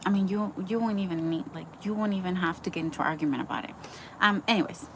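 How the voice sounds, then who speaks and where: A woman's voice: on the low side, fast, a bit bright, a little hiss or hum. Chatty woman giving a casual Bible talk. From Dominican Republic. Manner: chatty, full of likes and ums, earnest. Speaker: Bible teacher. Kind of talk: casual devotional teaching.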